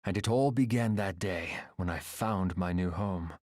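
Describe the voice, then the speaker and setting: An actor playing a proud, reflective exiled engineer and airship captain in a video game, speaking in an earnest, measured, solemn way. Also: male voice, sits low, darkish tone, dry recording, studio quality. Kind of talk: dramatic video game voice acting.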